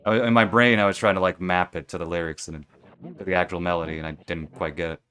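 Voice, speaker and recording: A man's voice: low voice, fairly even intonation, fast talker, a bit bright. A young man thinking aloud while playing a game, rambling and correcting himself as he goes. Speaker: streamer playing a video game. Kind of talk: casual let's play commentary.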